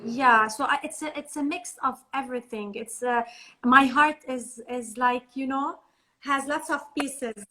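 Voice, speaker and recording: A woman with a Lebanese accent chatting casually as a guest coach, earnest and halting, often repeating words. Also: sits high, sharp tone. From born in Liberia, French Lebanese. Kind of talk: casual chat.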